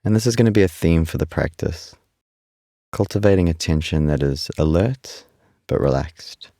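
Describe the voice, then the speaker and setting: A man with a remarkably deep voice leads a guided meditation. His tone is dark and relaxed with a gravelly fry, and he speaks soothingly with long, unhurried pauses. The sound is close and dry. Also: no room sound. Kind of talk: guided body scan meditation.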